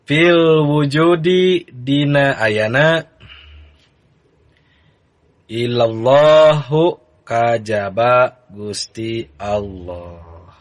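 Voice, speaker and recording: A man teaching a religious text to beginners in a relaxed, easygoing manner. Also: medium pitch. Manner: relaxed, chanting the text lines in a sung recitation. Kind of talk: kitab reading lesson.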